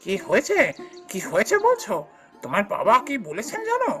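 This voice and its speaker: A woman's voice: a little high, huge pitch swings, brisk, soft background music. A woman voicing a greedy, harsh landlord husband in a cartoon fairy tale, snapping and sarcastic. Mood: bitter, resentful. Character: greedy, harsh, scornful son-in-law, rent-collecting landlord.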